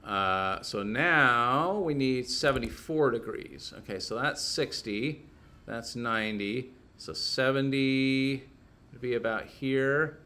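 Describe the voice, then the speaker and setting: A man's voice: medium pitch, slow, bright, forceful tone. A man teaching a casual hands-on technical lesson, informally thinking aloud as he works through each step.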